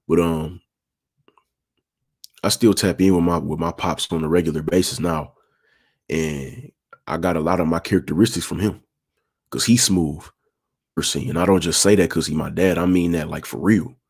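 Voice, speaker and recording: A young man with a raspy voice, talking in a laid-back, smooth way and repeating himself as he hosts a casual livestream. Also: deep voice, a little mellow. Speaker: livestream host.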